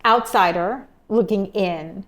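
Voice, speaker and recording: Woman host interviewing a chef, lots of mm-hmms, engaged and chatty, normal pitch. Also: takes it slowly, fairly bright tone, a little hiss or hum. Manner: engaged, prompting, frequent backchannel. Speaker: podcast host. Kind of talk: conversational interview.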